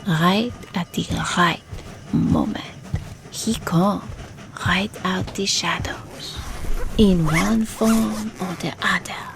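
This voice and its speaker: Woman acting a creaky, slow, eerie folksy seer. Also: fairly low pitch, background noise. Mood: eerie, hushed. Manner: colloquial, slow, foreboding. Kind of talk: audio drama acting. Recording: music under the voice. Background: loud music.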